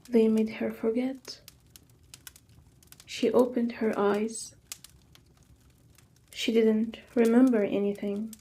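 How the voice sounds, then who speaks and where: A woman narrating a scripted tale as whispered ASMR, slowly, in short hushed phrases with pauses. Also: fairly high pitch, breathy edge. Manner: slow, hushed, pausing after short phrases. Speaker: storyteller.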